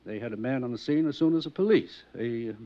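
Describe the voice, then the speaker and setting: An actor playing a wealthy, courteous mansion owner in a 1950s radio drama, speaking smoothly and guardedly. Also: male voice, medium pitch, animated, fast talker, lightly acted. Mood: polite. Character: wealthy polished mansion owner, male, middle-aged. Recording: dull old radio transcription.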